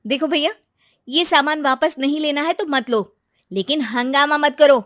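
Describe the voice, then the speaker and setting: A woman voicing a young daughter-in-law in a family drama, dutiful, brisk and assertive. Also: high-pitched, a bit bright, pitched above the performer's own voice. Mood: indignant.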